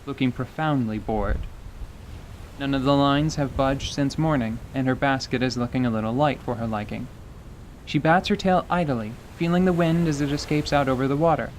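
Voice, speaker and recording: A man narrating a scripted radio theater story in a measured, descriptive way. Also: mid-range voice, a little hiss or hum, rough recording. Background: faint music.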